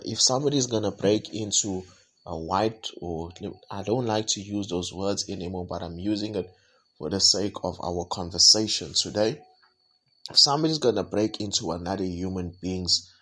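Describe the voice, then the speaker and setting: South african guy, deep husky voice, stops and restarts a lot, talking through the week's news. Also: a bit bright. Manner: halting, many repeated words and fillers. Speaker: podcast host. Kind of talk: reflective weekly review monologue.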